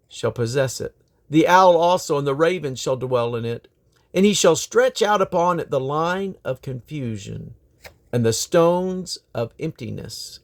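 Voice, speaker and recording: A man reading scripture aloud in a solemn, declamatory manner. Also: fairly high pitch. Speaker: Bible reader.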